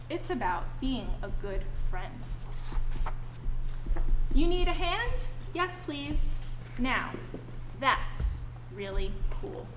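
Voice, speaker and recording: Lady teacher doing an animated kids read-aloud, high voice, echoey noisy room. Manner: animated, addressing young children with questions and simple explanations. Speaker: teacher reading a picture book aloud to children. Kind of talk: expressive children's read-aloud.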